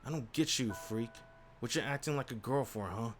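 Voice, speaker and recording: A man voicing a cruel, sneering village bully boy who shouts and whines. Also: mid-range voice, moderate pace, soft background music, close to an everyday voice.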